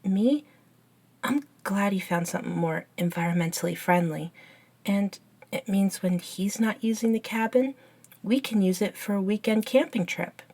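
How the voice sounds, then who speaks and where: A woman voicing an eager, curious, nerdy young best friend in a scripted ASMR roleplay, chatting and explaining in an unhurried, softly enthusiastic way. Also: medium pitch, natural voice. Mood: chatty. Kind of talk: ASMR roleplay, scripted one-sided conversation.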